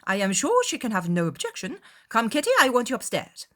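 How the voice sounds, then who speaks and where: A woman plays an excitable middle-aged mother from a period storybook. The voice jumps up high, with emphatic, gushing delivery. She is fussy, bossy and will not be argued with. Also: brisk, heavily acted. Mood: eager, gushing. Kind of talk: dramatized period audiobook character acting.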